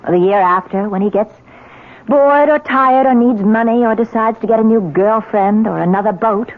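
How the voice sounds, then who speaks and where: An American actress playing a wry, sophisticated middle-aged society wife in a 1970s radio drama. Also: female voice, on the high side, normal speed, narrow, tinny audio, lightly acted. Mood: teasing, airy. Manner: arch, wry, theatrical. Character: sophisticated, teasing society wife, socially ambitious. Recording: thin, band-limited old radio broadcast.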